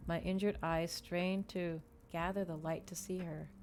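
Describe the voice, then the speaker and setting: Woman, low register, creaky edge, dramatic ASMR novel reading, expressive measured storytelling. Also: faint music behind. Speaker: streamer reading a novel aloud.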